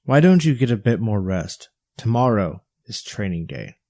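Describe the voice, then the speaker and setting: This is a battle-worn bodyguard in an audio story, as a man acts him out. His voice is fairly low and closely recorded with no room sound. He talks in a sober, measured, earnest way, like a mentor. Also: very animated, dark tone, no echo. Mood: reassuring.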